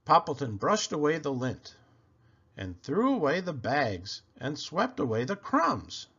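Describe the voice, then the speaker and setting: Male children's storyteller: slow, deliberate read-aloud narration with very lively intonation. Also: fairly high pitch, breathy edge, muffled recording. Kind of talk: expressive picture book narration.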